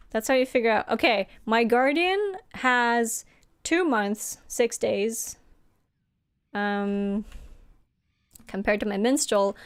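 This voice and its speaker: A woman's voice: on the high side, clean tone, dry recording. A young woman whose first language is Norwegian, chatting casually with viewers while she streams a game. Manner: chatty and friendly, laughs often. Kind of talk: casual live-stream chat, reading chat aloud.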